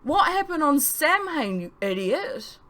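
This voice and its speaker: A woman's voice: sits high, very animated, a little slow, a bit bright, voice pushed up, heavily acted. A woman voicing an anguished, protective male mentor in an audiobook, speaking with emphatic, pleading intensity. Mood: furious.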